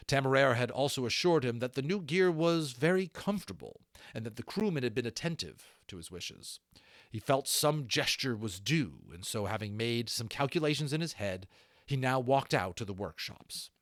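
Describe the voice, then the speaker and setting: A man narrating an audiobook as an expressive storyteller, dropping into casual asides now and then. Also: medium pitch, moderate pace, dry recording, studio quality.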